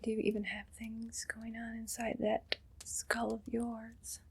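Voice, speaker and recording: A woman playing a haughty, condescending evil queen in an ASMR roleplay, speaking in a breathy, deliberate whisper. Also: on the high side, completely flat delivery, exaggerated voice. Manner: slow, deliberate, imperious.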